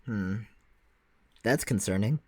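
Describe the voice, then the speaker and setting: A man giving low-key, casual reaction commentary as a co-host, mostly in short agreeing remarks. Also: slow, a little mellow, husky.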